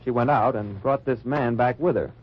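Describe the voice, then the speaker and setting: An actor playing a dry, wry undercover agent who narrates in first person in a 1940s radio drama. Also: male voice, medium pitch, normal speed, slight background noise, close to an everyday voice. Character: hard-bitten American undercover agent narrating. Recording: lo-fi old radio transcription.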